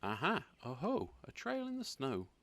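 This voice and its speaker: A man voicing a brave, curious young girl monster in a storybook, excitable and full of questions. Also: mid-range voice, normal speed, voice pushed up. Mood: excited, curious.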